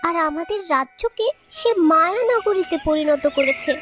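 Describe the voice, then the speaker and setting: A woman's voice: very high-pitched, animated, normal speed, music in the background. A woman voicing an earnest, helpful young fairy trapped as a bird in a children's fairy tale cartoon. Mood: sorrowful, earnest.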